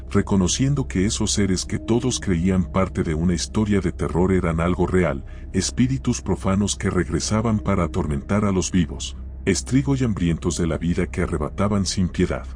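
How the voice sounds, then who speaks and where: A man's voice: sits low, lively intonation, normal speed, dark tone. A man narrating a scripted horror story. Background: background music.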